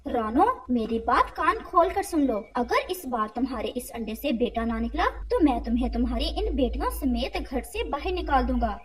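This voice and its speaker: A woman's voice: sits high, animated, moderate pace, pauses often, pitched below the performer's own voice. A woman voicing a harsh, domineering male bird in a cartoon, with a stern, scolding, threatening manner. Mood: angry, threatening.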